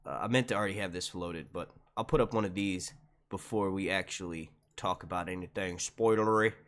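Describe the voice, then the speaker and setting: A young man hosting a casual live stream at home into a desk microphone, chatty and profane, with a naturally loud, carrying voice. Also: sits low, brisk, slight background noise. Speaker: YouTube live stream host. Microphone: desk mic.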